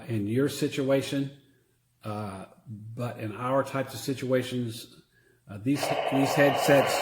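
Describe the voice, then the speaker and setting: A man giving a casual, unscripted product demonstration in a halting, plain-spoken way. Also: medium pitch, a little mellow. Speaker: product reviewer; church security team member. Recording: roomy echo.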